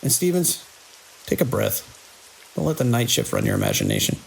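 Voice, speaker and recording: Casting: actor as skeptical night-shift security boss, male, heavy vocal fry, lively intonation, dry authority, horror audio drama. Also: medium pitch, normal speed, slight background noise, exaggerated voice, rough recording. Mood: commanding, calm. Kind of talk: immersive audio drama acting.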